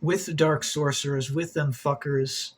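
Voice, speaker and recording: Husky guy ranting on his occult channel, kinda high voice, keeps cursing n repeating himself. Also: fairly even intonation, a little slow. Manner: agitated, swears often, repeats words. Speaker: occult video host. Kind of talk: rambling monologue to camera.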